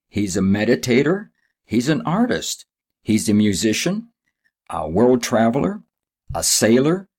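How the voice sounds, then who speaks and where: A male podcast host with a somewhat low voice. He delivers an upbeat intro that runs through lists, then asks his guest questions. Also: a little mellow. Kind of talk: scripted show intro, then interview questions.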